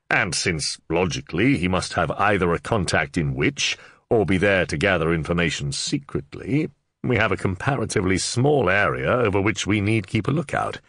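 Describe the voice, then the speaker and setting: A hard-headed sergeant who talks plainly and a bit roughly, with a scathing edge, as a male narrator voices him. The voice is lifted a little above the narration and has a gravelly grain. It is recorded dry and close for a storybook audiobook. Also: deep voice, a bit bright, dry recording, heavily acted. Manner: plain-spoken, rustic, scathing. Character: shrewd blunt middle-aged male sergeant. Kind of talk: audiobook character voice.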